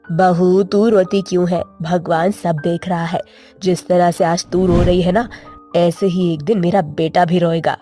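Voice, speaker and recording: A woman voices a stern old village mother-in-law for a cartoon story. The voice is dark and fairly low, with emphatic delivery and faint music behind. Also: steady tone, unhurried, close to an everyday voice. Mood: sympathetic. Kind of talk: Hindi moral-story cartoon dubbing.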